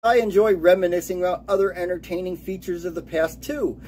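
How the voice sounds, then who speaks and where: A man in his late forties giving an upbeat radio DJ countdown, announcing titles and years in a lively way. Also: sits high, brisk, over loud music. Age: late 40s.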